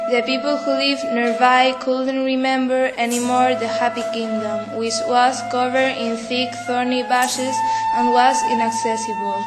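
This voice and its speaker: A woman reading a scripted fairy tale as a storyteller. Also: high-pitched. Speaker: storyteller in a school project. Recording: long reverb, loud music behind.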